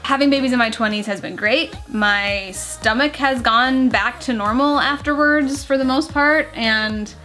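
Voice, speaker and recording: A woman's voice: on the high side, unhurried, few pauses, sharp tone, soft background music. A woman in her twenties, a vlogger chatting earnestly on camera from her living room couch, with lots of fillers. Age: 20s. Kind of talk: casual couple chat on camera. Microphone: camera mic at a few feet.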